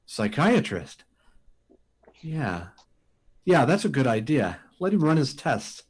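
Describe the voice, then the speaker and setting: An actor playing a desperate, insistent rocket pilot in an old-time radio science fiction drama, speaking in halting, urgent bursts. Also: male voice, mid-range voice, big pitch swings, breathy edge, exaggerated voice. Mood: shaken, urgent.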